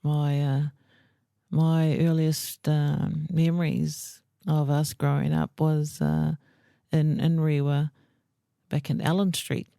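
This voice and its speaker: Middle-aged New Zealand woman, slow and gravelly, fond storyteller, dry close sound. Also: low-pitched, flat delivery, warm tone, no echo. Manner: unhurried, reminiscing, fond. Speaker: guest, host's mother. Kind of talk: casual interview.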